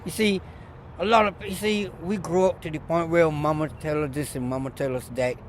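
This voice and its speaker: A middle-aged man from Barbados, a former barber, telling stories in an animated, emphatic way during a casual outdoor interview by a street. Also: on the high side, brisk. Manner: animated storyteller, loud emphatic bursts. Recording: faint street noise outdoors.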